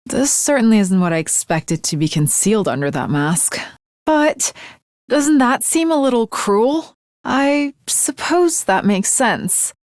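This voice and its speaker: An earnest teenage girl warrior for an anime video game. Her voice is high and swings widely, with slow, deliberate delivery. She speaks with polite, archaic formality and theatrical flourish. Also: sing-song, a little mellow, no room sound, clean studio sound. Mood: puzzled, wary. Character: earnest, lovestruck, naive teenage girl swordswoman.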